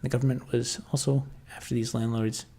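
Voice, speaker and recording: Gravelly Canadian man musing on a livestream. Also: medium pitch, flat delivery, mellow. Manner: halting, thinking aloud, frequent restarts. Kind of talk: casual livestream chat, answering viewer comments.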